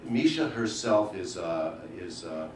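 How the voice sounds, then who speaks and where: A man with a fairly low voice introduces a reader informally, in halting phrases. He sounds away from the microphone in a very roomy venue. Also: expressive, a little mellow. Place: live book launch in an indoor venue. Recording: echoey live room, distant mic, faint noise.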